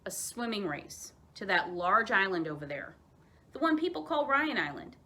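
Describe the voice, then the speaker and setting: A woman voicing a kind grown-up aunt moose in a children's story, encouraging and gently teasing. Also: mid-range voice, very animated, normal speed. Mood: playful, challenging.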